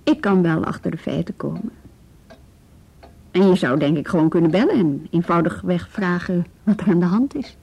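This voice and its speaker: An actress playing a calm, practical policewoman in a radio drama, talking in a measured, reassuring way. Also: lowish voice, creaky, slightly rough. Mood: reassuring, explaining. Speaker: actor in a radio play. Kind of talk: naturalistic radio drama dialogue.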